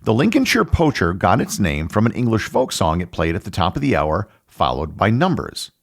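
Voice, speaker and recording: A middle-aged American man hosting a podcast, reading scripted educational narration in a measured, explanatory way. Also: sits low, sing-song. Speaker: podcast host.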